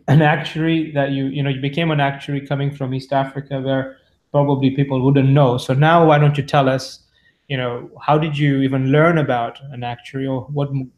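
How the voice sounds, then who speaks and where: A man's voice: mid-range voice, fairly even intonation, moderate pace, darkish tone. Man host asking rambling questions. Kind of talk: radio interview questions.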